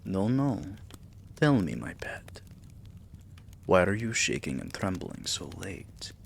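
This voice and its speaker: A man playing a possessive, tender yet menacing vampire king in an intimate ASMR roleplay, murmuring softly and dipping into whispers. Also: low-pitched, sing-song, slight background noise, exaggerated voice. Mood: concerned, teasing. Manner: soft, slow, breathy murmurs dipping into whispers.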